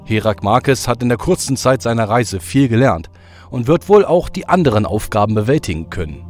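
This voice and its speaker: A man voicing a reserved god who speaks in a formal, measured way. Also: mid-range voice, expressive, darkish tone, faint music behind, close to an everyday voice. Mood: earnest. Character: proud, reserved father god.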